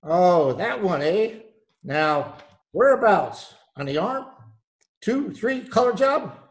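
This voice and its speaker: A man acting salty tattooist, high-pitched, evasive, nautical slang, old-time radio drama, home call recording. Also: big pitch swings, slow pace, exaggerated voice. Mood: cagey, salty. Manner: salty, evasive, calls people mate.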